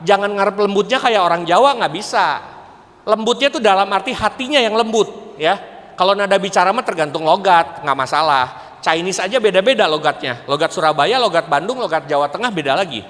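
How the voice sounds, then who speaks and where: Echoey hall talk, higher-pitched Indonesian man. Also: a bit bright. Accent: Indonesian with West Javanese (Sundanese) colouring. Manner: conversational, didactic, checking in with listeners. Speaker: speaker at a church parenting seminar, school principal. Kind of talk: public seminar talk with preaching. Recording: echoey hall with long reverb.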